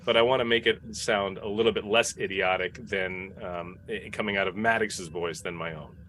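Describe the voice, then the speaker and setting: A man's voice: sits low, fairly even intonation, moderate pace, fairly bright tone. A man in a casual panel chat as a cast member, reflective and often correcting himself. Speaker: podcast cast member and role player. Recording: faint background noise.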